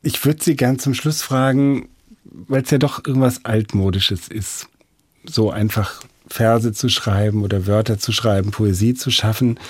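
A man's voice: lowish voice, unhurried, a little mellow, breathy edge, no room sound. A man working as interviewer and host of a cultural radio programme, speaking in a studio.

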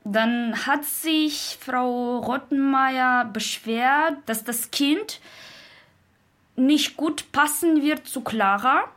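A young woman from Uzbekistan with a fairly high voice tells things from memory in a language that is not her first. Her delivery is slow and halting, with pauses to search for words. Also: fairly bright tone. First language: not German. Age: 20s. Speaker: interviewee retelling a film. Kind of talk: unscripted spoken retelling.

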